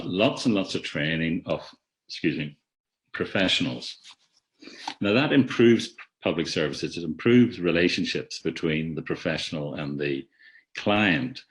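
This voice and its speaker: A man giving a reflective academic talk in a webinar, measured, with frequent restarts and words that trail off softly. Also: low-pitched, expressive. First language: not an Irish speaker. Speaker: webinar speaker. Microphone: video call.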